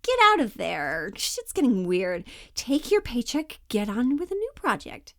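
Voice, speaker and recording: A woman's voice: fairly high pitch, huge pitch swings, a little slow, a bit bright, no echo, studio quality, heavily acted. A woman playing a pushy, falsely upbeat Hollywood talent agent in a comedic monologue, coaxing, flippant and over-reassuring. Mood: brisk, coaxing. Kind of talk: dramatic audition monologue, comedic.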